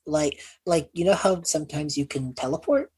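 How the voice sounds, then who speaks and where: A woman playing an awkward, sheepish young male mage student lost in time, husky and halting, in a tabletop game. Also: low voice, darkish tone. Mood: awkward explaining. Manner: halting, evasive, rambling.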